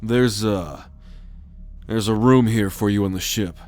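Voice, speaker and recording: A man acting a roguish, protective alien scout captain in a sci-fi ASMR roleplay, measured and authoritative as he gives orders. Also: on the low side, darkish tone, lightly acted. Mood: calm, assured.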